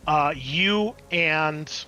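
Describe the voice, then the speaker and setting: A man's voice: fairly high pitch, extremely slow, sharp tone, faint music behind. A man narrating as game master of a tabletop horror game, in a casual, sweary, descriptive way.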